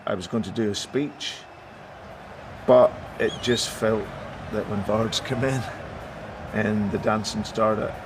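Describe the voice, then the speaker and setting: A man's voice: lowish voice, fairly even intonation, slow pace, noisy background. A man from a football club reflecting in a documentary interview. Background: music.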